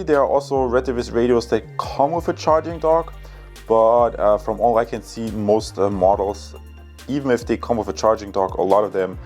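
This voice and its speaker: A man with a fairly low voice hosts a casual product review. He explains things step by step in an enthusiastic way, speaking straight to his viewers. Faint music plays behind his voice. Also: a little mellow. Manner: enthusiastic, addresses viewers directly.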